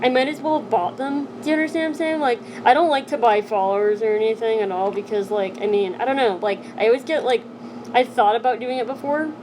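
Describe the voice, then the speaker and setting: A young woman chatting casually on a live stream from home, rambling and filling every pause with "like". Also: a little high, fairly even intonation, noisy background. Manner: rambling, heavy use of "like" fillers. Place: home, sitting on her knees.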